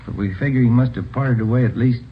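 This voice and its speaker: An actor playing a plain-spoken, puzzled police detective partner in an old radio crime drama, talking casually. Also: male voice, mid-range voice, fast, close to an everyday voice. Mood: reasoning. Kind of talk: 1940s-50s radio crime drama acting. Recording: tinny, faintly noisy old radio recording.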